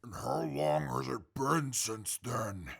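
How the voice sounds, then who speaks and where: Guy doing a gruff raspy captive in a wasteland radio play, slow halting talk that swings up and down, short-tempered. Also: medium pitch, animated, fairly bright tone, full character voice. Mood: strained questioning. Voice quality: very gravelly, raspy. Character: irritable male captive fighter, groggy, blunt and domineering. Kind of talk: post-apocalyptic audio drama acting.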